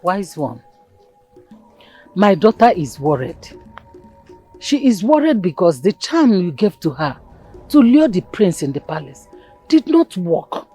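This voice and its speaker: An actress playing a worried, scheming mother in a film drama, formal and deliberate, with a husky voice. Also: lowish voice, big pitch swings, dark tone, faint music behind, exaggerated voice, poor audio. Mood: anxious, formal.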